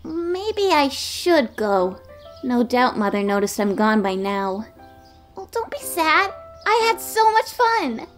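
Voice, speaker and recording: Young female lion cub for a cartoon, tiny and innocent, unhurried pace, music behind. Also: sits high, full character voice. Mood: friendly. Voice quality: small, childlike. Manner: excitable, innocent. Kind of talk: comic dub character acting.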